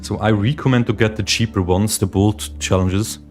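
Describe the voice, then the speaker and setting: A man's voice: on the low side, steady tone, moderate pace, a little mellow. A young man from Switzerland hosting a casual livestream, chatting with viewers and reading their chat questions aloud. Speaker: livestream host, day trader. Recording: meditation music playing behind the voice.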